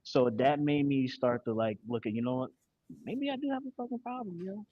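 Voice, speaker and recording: A man's voice: on the high side, animated, a little fast, dry recording. A man in his forties from Connecticut chats candidly as a podcast guest. He swears freely and uses street slang. The recording is dry and a little dull. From Connecticut, USA. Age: 40s. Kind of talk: casual podcast chat.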